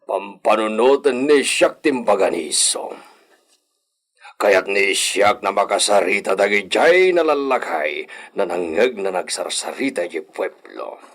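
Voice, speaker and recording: A man playing a grave, brooding landowner in a radio drama, speaking in a slow, hushed, deliberate way. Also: on the low side, lively intonation. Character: grave, brooding hacienda lord, a don.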